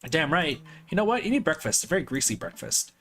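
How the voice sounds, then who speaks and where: A man's voice: a little high, very animated, quick pace, a bit bright. A man voicing a bubbly, playful teenage daughter with a raised voice. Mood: chirpy. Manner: chirpy and playful.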